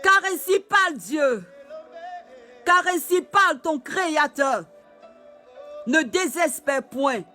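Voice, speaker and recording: A man leading a fervent spoken prayer, repeating phrases and often calling out loudly, with soft music behind him. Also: extremely high pitch. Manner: fervent, repetitive, often shouted. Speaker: pastor. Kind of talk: prayer and worship exhortation.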